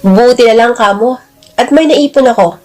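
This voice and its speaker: Audio drama: teasing, gushing male buddy voiced by a woman, high-pitched, flirty banter over music. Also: normal speed, a little mellow, lightly acted. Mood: chummy, wistful. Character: Victor, teasing, chummy, flirtatious man, a security guard.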